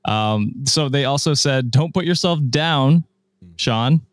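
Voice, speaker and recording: A man co-hosting a podcast in casual chat, with a monotone voice, self-deprecating and stammering a little. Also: medium pitch, slow pace. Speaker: podcast co-host reading reviews.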